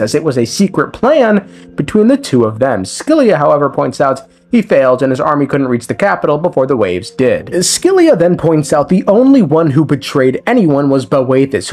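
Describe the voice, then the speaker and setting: A man's voice: fairly high pitch, darkish tone, breathy edge. A man giving a casual scripted recap of a video game story as a lore commentator, with irreverent, sweary, slangy asides. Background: background music.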